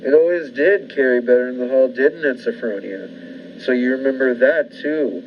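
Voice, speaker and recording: A man's voice: medium pitch, normal speed, slight background noise, lightly acted. A man playing a sinister, controlling brother-in-law in the style of a 1940s radio thriller. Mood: quietly menacing. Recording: muffled recording under loud music.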